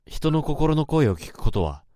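A man's voice: on the high side, very animated, moderate pace, dark tone. A man playing a troubled office worker who narrates his own story in the first person, in a brooding and anxious way. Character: troubled young male office worker, introspective. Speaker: narrator and lead.

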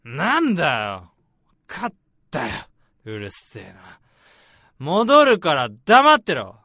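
A brash, resentful senior researcher acted by a man in a radio play, casual and cocky. His voice is sharp and very animated, set a little high, over faint music. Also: takes it slowly, heavily acted. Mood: irritated. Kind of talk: radio drama acting with first-person narration.

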